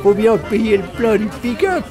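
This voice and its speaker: A woman playing a sly old backwoods hermit peddler with a drawn-out, unctuous way of talking, music behind. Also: on the high side, expressive. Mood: sly greeting.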